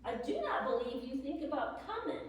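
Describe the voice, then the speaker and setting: Weary, lonely Civil War wife played by a woman, plaintive letter-reading, high voice, distant mic in a reverberant room. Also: very animated, moderate pace, slight background noise. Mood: impatient. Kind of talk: dramatic reading of historical letters. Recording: echoey hall, distant microphone.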